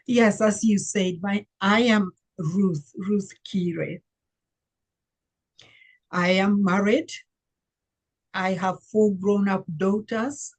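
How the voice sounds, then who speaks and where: An older woman from Uganda recounts her life as an interview guest. She talks slowly and reflectively, pausing to search for words. Her voice is somewhat husky, at a middle pitch. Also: fairly even intonation. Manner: unhurried, reflective, with many fillers and searching pauses. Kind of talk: conversational interview storytelling.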